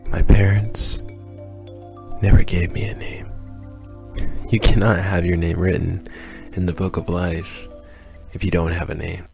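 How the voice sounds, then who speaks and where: An actor playing a gentle young greeter in heaven, calm and welcoming, in a radio drama. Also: male voice, low voice, measured pace, gravelly, pauses often, over music. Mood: wistful, resigned.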